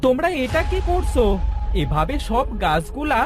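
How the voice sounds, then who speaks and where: A man's voice: extremely high pitch, very animated, normal speed. A man voicing a small, earnest, pleading boy in a cartoon. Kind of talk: cartoon character voice acting. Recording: music under the voice.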